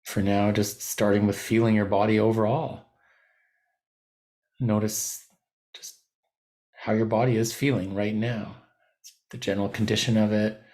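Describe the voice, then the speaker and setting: A man leading a guided body scan meditation as a teacher, giving gentle, unhurried instructions with pauses mid-phrase. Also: lowish voice, little pitch movement.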